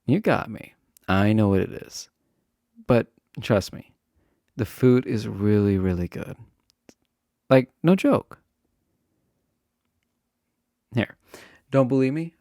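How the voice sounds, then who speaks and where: Man playing a slow, mellow, flirty college guy. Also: on the low side, animated, dry recording, clean studio sound. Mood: playful, reassuring.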